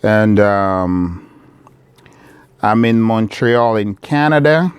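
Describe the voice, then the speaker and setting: A middle-aged man from the Democratic Republic of Congo, speaking straight to camera from his shop in an earnest, measured appeal that repeats key phrases. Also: fairly low pitch, lively intonation, clean tone, no echo. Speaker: vlogger, business owner.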